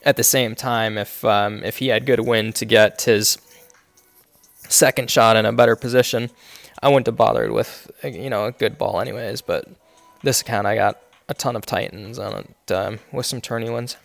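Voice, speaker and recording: A man's voice: on the low side, fairly even intonation, moderate pace, creaky. A man streaming a mobile golf game, explaining each of his shots step by step with many fillers while congested and coughing now and then. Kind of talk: live gameplay commentary.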